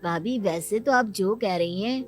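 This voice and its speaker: A woman's voice: medium pitch, a little slow, faint music behind, pitched below the performer's own voice, natural voice. A woman voicing an earnest, accommodating grown younger brother in a cartoon moral story. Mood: conciliatory. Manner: conciliatory, earnest.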